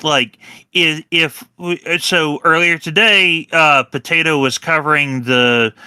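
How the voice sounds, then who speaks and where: A man on a panel pushing back on a point, halting and restarting often. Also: a little high, pushed and bright, clear, resonant tone, no room sound, clean studio sound. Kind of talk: heated panel discussion on a live stream.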